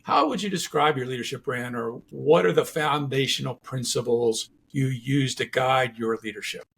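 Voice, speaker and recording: A man's voice: mid-range voice, moderate pace, gravelly, a bit bright, husky. A man hosting a conversational interview, asking curious, rambling questions with many restarts. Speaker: podcast host.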